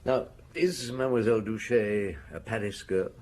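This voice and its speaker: An actor playing a patient police detective in a radio drama, putting probing questions with measured courtesy. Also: male voice, fairly low pitch, darkish tone, slight background noise, lightly acted.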